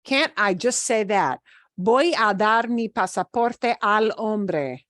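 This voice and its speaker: A woman's voice: medium pitch, expressive, slow pace, a bit bright, no echo, studio quality. A woman Spanish teacher with a Midwestern American accent, patiently explaining a lesson over a video call with fillers and restarts. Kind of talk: online class lesson.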